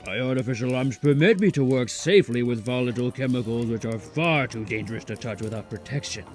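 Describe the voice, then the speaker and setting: A man's voice: medium pitch, brisk, soft background music, close to an everyday voice. A man voicing an arrogant, unhinged scientist supervillain in a melodramatic, grandiose comic book dub. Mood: proud.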